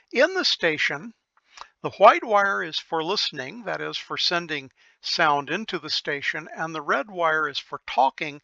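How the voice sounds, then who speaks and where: An older man giving an informal technical explanation, methodical and thinking aloud with pauses. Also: a little high, gravelly, sharp tone, no echo, highs cut off. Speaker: electronics repair hobbyist presenter.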